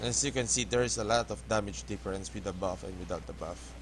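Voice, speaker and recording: Filipino man, low voice, halting game commentary. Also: background noise. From Philippines. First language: Filipino language. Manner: hesitant, halting, restarts words often. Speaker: gaming tutorial host. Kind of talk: casual gameplay commentary.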